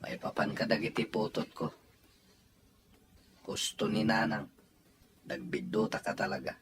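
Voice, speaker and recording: A man's voice: lowish voice, expressive, measured pace, creaky, a little hiss or hum. A man acting a troubled, defensive grown son and father in an audio drama, with a Filipino accent. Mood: remorseful.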